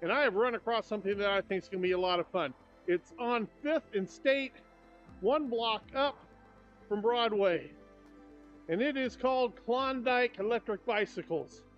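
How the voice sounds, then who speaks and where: Older male vlogger, upbeat and chatty walking-tour guide, fairly high voice, camera-mic sound with faint shop music. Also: moderate pace. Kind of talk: casual walking tour narration. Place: shops and streets of a small town, indoors and out. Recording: slightly dull sound, faint music behind.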